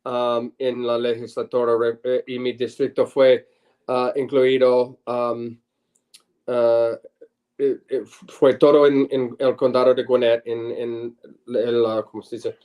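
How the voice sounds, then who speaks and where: A middle-aged man, a native English speaker and lawyer, answering interview questions in halting, searching phrases and switching between two languages. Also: mid-range voice, level tone, darkish tone. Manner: halting, searching for words in Spanish. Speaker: lawyer and former state senator, guest.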